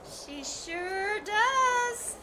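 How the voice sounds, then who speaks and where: A squeaky-high, cutesy teenage girl plays the glamour expert in a live 1940s-style radio comedy. Her emphatic lines swoop up and down. The theater recording is clearly noisy. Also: expressive, very slow, exaggerated voice. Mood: eager.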